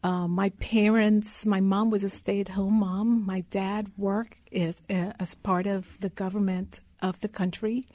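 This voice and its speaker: Mellow middle-aged woman telling her story. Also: mid-range voice, no room sound. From Guyana. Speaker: interview guest, consulting executive. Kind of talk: personal storytelling in an interview.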